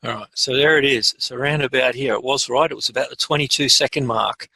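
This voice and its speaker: A man presenting a casual software tutorial, informally thinking aloud as he demonstrates each step. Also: medium pitch, fast talker, husky. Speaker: webinar presenter.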